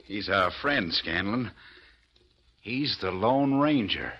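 An actor playing a plain-spoken, stubborn young rancher in a vintage radio drama. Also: male voice, fairly low pitch, animated, slow pace, dull audio. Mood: determined. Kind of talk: 1940s radio acting.

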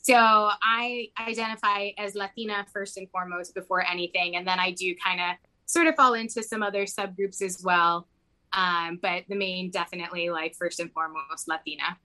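A woman's voice: fairly low pitch, steady tone, moderate pace, sharp tone, poor audio. A young woman who grew up in Mexico, talking casually in an interview, rambling with lots of "like" fillers. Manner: rambling, heavy use of "like" fillers.

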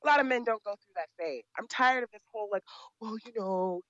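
A woman's voice: mid-range voice, fairly quick, a bit bright, dry recording, muffled recording. A woman speaking as an interview guest, blunt and emphatic, repeating her points. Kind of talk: opinionated interview talk.

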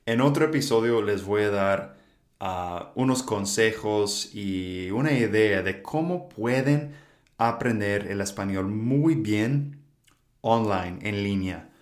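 Unhurried low-voiced man, echoey. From United States. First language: English. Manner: relaxed, thinking aloud with fillers. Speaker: podcast host. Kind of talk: solo podcast monologue for learners.

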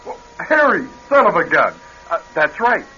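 A male actor playing a nervous, evasive American brother-in-law in a radio drama, hesitant and stumbling, with a husky voice. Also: a little high, wildly swooping pitch, normal speed, pushed and bright, faint music behind, heavily acted. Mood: awkward friendliness. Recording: dull vintage radio recording.

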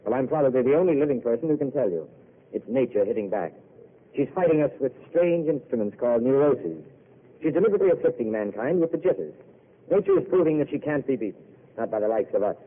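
An actor playing a weary, self-mocking intellectual who speaks in a wry, eloquent way, heard on a tinny old radio broadcast. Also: male voice, mid-range voice, big pitch swings, quick pace. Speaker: wandering failed writer. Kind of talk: 1930s radio drama acting.

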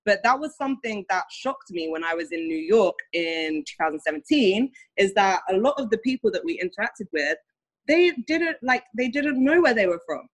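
A woman's voice: mid-range voice, big pitch swings, moderate pace, low-quality recording. A young British woman talking in a casual conversation, chatty and full of fillers. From United Kingdom.

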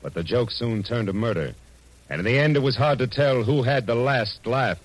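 An actor playing a wry, easygoing, flirtatious private detective in a 1940s radio drama. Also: male voice, mid-range voice, animated, normal speed, lightly acted, poor audio. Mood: ominous narration. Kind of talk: 1940s radio drama acting and first-person narration. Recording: old radio recording with music behind.

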